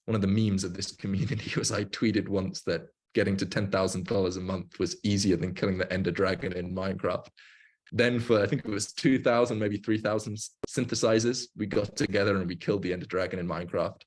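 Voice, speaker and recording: Low-pitched man in his twenties, casual livestreamer. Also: fairly even intonation, fairly quick. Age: 20s. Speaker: livestream host, online business coach. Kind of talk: casual livestream talk and coaching.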